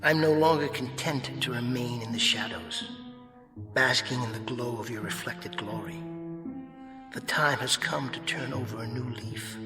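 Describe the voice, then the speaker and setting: An actor playing a smug, sly tempter spirit in a radio drama, taunting in a silky, formal, theatrical manner. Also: male voice, medium pitch, close to an everyday voice. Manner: mocking, silky, formal, goading. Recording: echoey hall reverb, music underneath.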